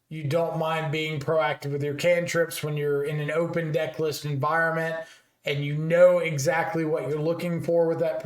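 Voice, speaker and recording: A man with a fairly high voice that is a little raspy today. He explains card game strategy casually and thinks aloud, with a few "uh"s along the way. The room has a noticeable echo. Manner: relaxed, thinking aloud with fillers. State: slightly raspy voice. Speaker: card game content creator.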